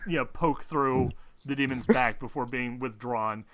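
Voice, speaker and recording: A man acting as game master, casually narrating a tabletop game in an informal, halting way over a voice call. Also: medium pitch, a bit bright. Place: remote online voice call.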